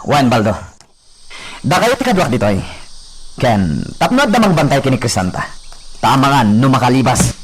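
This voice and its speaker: A man's voice: medium pitch, sing-song, moderate pace, pauses often, a little hiss or hum, close to an everyday voice. A man playing a lovesick, domineering young master in a melodramatic Ilocano radio drama. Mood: scheming. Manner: melodramatic, pleading.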